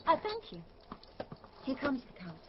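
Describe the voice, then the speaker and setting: An earnest young page in Shakespearean radio drama. The voice is fairly high and courteous. The recording is slightly dull with faint background noise. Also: female voice, wildly swooping pitch, a little slow, exaggerated voice. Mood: anxious courtesy. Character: polite young servant, woman disguised as young gentleman.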